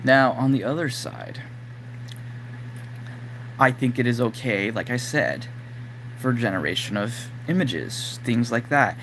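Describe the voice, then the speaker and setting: Guy going hoarse, chatting loosely on his stream, lots of likes and you knows, mid pitch, slight background hum. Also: lively intonation, measured pace, long pauses, a bit bright, low-quality recording. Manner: rambling, lots of fillers like "like" and "you know". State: losing his voice, throat-clearing. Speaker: livestream host. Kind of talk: casual live-stream monologue.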